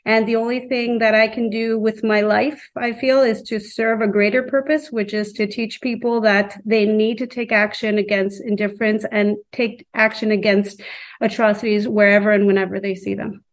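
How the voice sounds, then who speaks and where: A woman who teaches high school, speaking earnestly and deliberately in a reflective interview. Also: little pitch movement.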